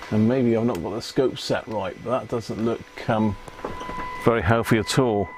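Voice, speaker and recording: A man's voice: lowish voice, moderate pace, background noise. A British man hosting a live repair stream, talking casually and half to himself as he works, often tagging questions onto his remarks. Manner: muttering to himself, tag questions. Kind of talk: casual live bench commentary.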